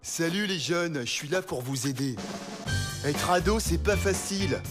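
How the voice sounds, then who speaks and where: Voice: mid-range voice, expressive, a little slow, faint music behind. Éducateur sincère, un peu moralisateur. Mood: earnest, preachy. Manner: earnest, preachy. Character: earnest, trusting male youth counsellor, easily discouraged. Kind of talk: animated cartoon acting.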